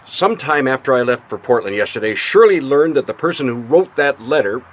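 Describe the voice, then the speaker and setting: An actor playing an evasive, indignant businessman in a radio mystery, halting and defensive under questioning. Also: mid-range voice, expressive, lightly acted. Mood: troubled. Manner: halting, defensive, blustering when challenged. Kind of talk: radio mystery drama acting. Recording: noisy radio drama recording.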